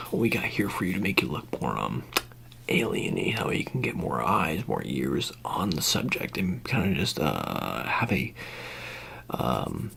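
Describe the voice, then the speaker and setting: A man speaking softly in an ASMR roleplay, halting and casual, often slipping into a whisper. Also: wildly swooping pitch. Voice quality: soft, breathy, often dropping into whisper. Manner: halting, casual, low-key official patter. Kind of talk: ASMR roleplay, soft-spoken, improvised.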